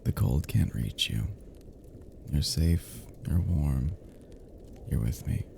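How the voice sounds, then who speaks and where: A man playing a tender, flirty best friend in an intimate ASMR roleplay, murmuring softly and affectionately as he soothes the listener to sleep. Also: rumbling low, animated, slow pace, creaky, background noise, voice pushed down, exaggerated voice. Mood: soothing, sleepy. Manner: soft, murmuring, gently teasing, affectionate.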